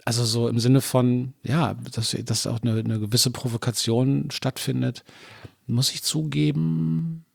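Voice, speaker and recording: A man's voice: medium pitch, steady tone, unhurried, creaky, no room sound, studio quality. A man in a casual conversation, telling long, rambling anecdotes about touring with his band, full of restarts and small chuckles. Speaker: musician guest.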